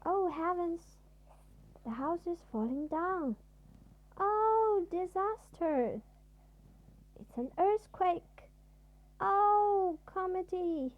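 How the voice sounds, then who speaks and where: A woman's voice: very high voice, lively intonation, extremely slow, pauses often, phone-quality sound, pitched above the performer's own voice, heavily acted. A woman voicing a timid, panicky male storybook character who exclaims in melodramatic fright. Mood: panicked.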